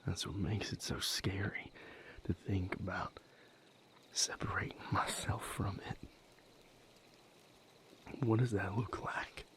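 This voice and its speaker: A man playing a weary, wounded vampire healer in an intimate audio roleplay, speaking in a breathy, gravelly, halting and earnest way. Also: sits low, steady tone, fairly bright tone. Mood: troubled, reflective. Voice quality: breathy, gravelly, half-whispered. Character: weary, wounded male vampire healer leaving his house.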